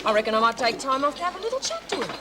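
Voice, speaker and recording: A woman's voice: high-pitched, huge pitch swings, very fast. An actress playing a brash, boisterous female prison inmate. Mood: joking. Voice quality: rough voice. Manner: boisterous, joking, cocky. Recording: loud hiss on old TV audio.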